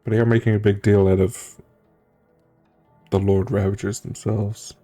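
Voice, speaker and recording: A man's voice: lowish voice, little pitch movement, moderate pace, dark tone, faint music behind. A male streamer giving casual gameplay commentary, musing wryly and sometimes reading aloud. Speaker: streamer playing and reacting to a story game.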